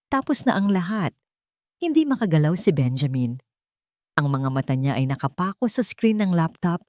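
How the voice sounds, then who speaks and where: A woman narrating a romance drama story with emotive, suspenseful delivery and dramatic pauses. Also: fairly low pitch, a little mellow, no room sound, studio quality.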